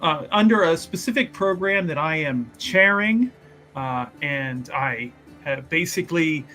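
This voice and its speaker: A man playing his tabletop character with a high, bright voice. He boasts at length and stumbles through plenty of ums and uhs. Faint music runs underneath. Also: expressive. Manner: halting, filler-heavy, boastful in character. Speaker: tabletop game player. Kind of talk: in-character roleplay.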